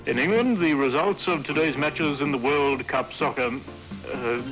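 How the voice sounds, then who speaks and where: A man's voice: a little high, normal speed, fairly bright tone, rough recording. A man reading radio sports results who keeps stumbling over the same phrase. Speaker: sports newsreader. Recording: archive radio broadcast with music.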